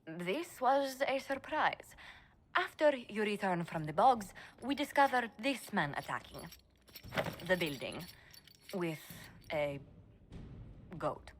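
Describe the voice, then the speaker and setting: An actress playing a composed, shrewd female advisor who speaks in a measured, formal way in a game. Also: mid-range voice, sing-song, a little hiss or hum. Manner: measured, formal, quietly persuasive. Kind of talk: video game voice acting.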